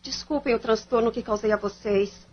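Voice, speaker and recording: A woman's voice: on the high side, normal speed, slightly rough, lo-fi sound. A woman playing a polite, suffering young daughter in an audio drama. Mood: reassuring, apologetic. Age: young adult.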